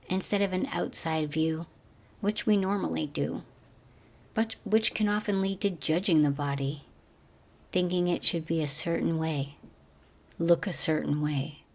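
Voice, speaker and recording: A woman guiding a meditation as a teacher, speaking gently in slow, measured phrases that trail off softly. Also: fairly low pitch. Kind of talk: guided meditation narration.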